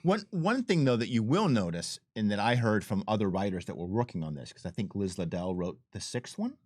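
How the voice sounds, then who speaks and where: A man's voice: lowish voice, very animated, brisk, a little mellow. A man talking casually as a game designer, enthusiastic and emphatic, often repeating himself and veering into asides. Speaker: game designer and adventure writer. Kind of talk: casual developer commentary chat.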